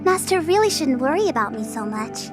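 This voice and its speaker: A woman's voice: sits high, sing-song, brisk, loud music behind the voice. A woman playing a devoted, submissive young servant in an audio drama, with a cutesy, fawning manner. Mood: adoring. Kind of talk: audio drama character acting.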